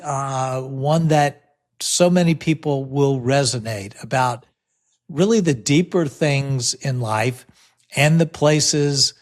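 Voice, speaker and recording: A man hosting a podcast interview, introducing his guest in a friendly, unhurried way with lots of "uh" fillers. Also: mid-range voice. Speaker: podcast host.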